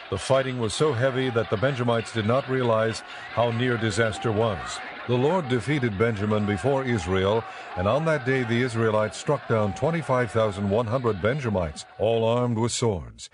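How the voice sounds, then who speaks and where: A man's voice: mid-range voice, noisy background. A man reading scripted dramatic Bible narration as the narrator.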